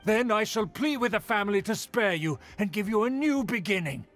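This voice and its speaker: A proud, scheming aristocratic elder from a fantasy game. His high voice swoops dramatically as he lectures with pompous indignation, over game music. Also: expressive, brisk. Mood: stern reproach. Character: haughty scheming noble uncle, proud clan elder, indignant. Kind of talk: video game voice acting.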